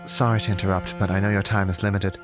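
An actor playing a courteous young nobleman with a kind, gentle voice and formal manners in a fantasy audio drama. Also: deep voice, level tone, fast, over music, close to an everyday voice. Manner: polite, formal.

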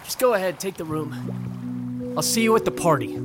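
An actor playing a resentful, wounded young college rower in a scripted audio drama. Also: male voice, high-pitched, a little fast, over music, natural voice. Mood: hurt, angry. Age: young adult.

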